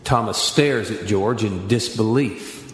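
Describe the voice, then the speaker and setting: A man's voice: lowish voice, unhurried. A man reading scripted narration for a radio play. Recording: echoey reverb under guitar music. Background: guitar music.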